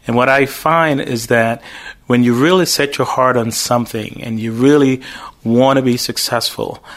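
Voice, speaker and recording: A man from Jamaica whose heavy Jamaican accent colors every phrase. He speaks as an interview guest, measured and deliberate, and now and then repeats a word with a faint stutter. His pitch sits in an ordinary middle range. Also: a bit bright. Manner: measured, thinks through words, occasional stutter. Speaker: pastor and school owner.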